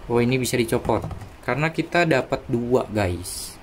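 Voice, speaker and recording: A man's voice: medium pitch, animated, moderate pace, slight background noise. A man giving casual, unscripted unboxing commentary in an informal, hesitant, thinking-aloud way. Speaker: unboxing video presenter. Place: indoors, small room at a desk.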